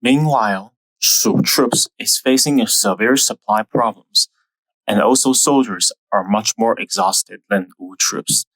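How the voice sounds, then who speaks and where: Young Taiwanese-accented male host, lowish voice, dry close mic, measured scripted history narration. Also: a bit bright, no echo, low-quality recording. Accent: Taiwanese Mandarin. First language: Mandarin. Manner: careful, measured explaining with non-native phrasing.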